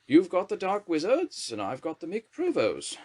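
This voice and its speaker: A man's voice: high-pitched, huge pitch swings, normal speed, a little mellow, husky, pitched above the performer's own voice, exaggerated voice. A man voicing an imperious older woman prime minister with a bantering, dismissive drawl.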